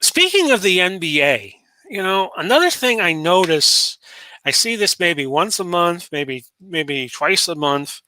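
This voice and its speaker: A man's voice: high voice, very animated, measured pace, bright, forceful tone, no echo. A man in his late forties from Florida, hosting a solo talk show in a rambling, casual way full of fillers and restarts. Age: 40s. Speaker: podcast host.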